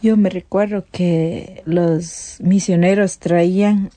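A woman's voice: lowish voice, steady tone, takes it slowly, dark tone, no echo. A woman from the Ecuadorian Amazon with an Ecuadorian accent, telling personal stories casually as a studio guest. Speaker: interview guest.

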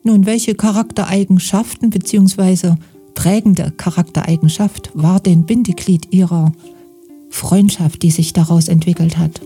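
Mellow-voiced woman radio host. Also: on the low side, fairly even intonation, breathy edge, soft background music. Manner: formal, measured questioning. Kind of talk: radio interview.

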